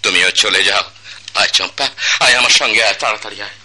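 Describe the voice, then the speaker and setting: A man's voice: fairly low pitch, brisk, sharp tone. A man with a Bangladeshi accent playing a stern, embittered older music master in a radio drama, solemn and declamatory. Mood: bitter, solemn.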